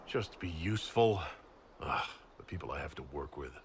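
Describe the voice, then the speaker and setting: A man's voice: very deep voice, expressive, moderate pace, slight background noise. A man playing a stern, guarded commander in a video game, speaking in a curt, restrained way. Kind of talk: video game dialogue acting.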